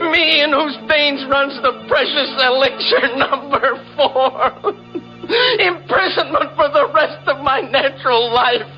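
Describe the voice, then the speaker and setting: An actor playing a scheming murderer in 1940s radio horror style, theatrical and intense. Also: male voice, extremely high pitch, muffled recording. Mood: hysterical despair. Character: scheming male murderer narrating his crime, cracking into hysteria. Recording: vintage radio recording, organ music behind.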